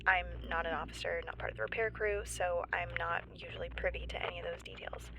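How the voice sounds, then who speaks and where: A woman playing a nervous, wry stranded geologist in a sci-fi audio drama, halting and breathy, often near a whisper. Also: fairly low pitch, steady tone, noisy background. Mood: halting, resigned. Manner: halting, awkward, wryly understated. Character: stranded woman, nervous civilian geologist keeping an emergency log. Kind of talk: found-footage sci-fi audio drama monologue.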